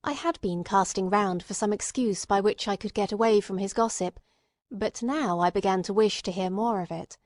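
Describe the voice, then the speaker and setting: A woman narrator reads a classic novel in a dry, close sound. Her delivery is measured and literary, with a medium-pitched voice that moves into acted dialogue. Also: darkish tone, no echo.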